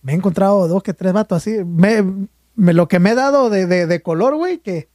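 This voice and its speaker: A man's voice: high-pitched, a little fast, darkish tone, no room sound, clean studio sound. A young man with a Mexican accent rambling in casual podcast chat, slangy and swearing freely. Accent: Mexican Spanish. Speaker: podcast co-host.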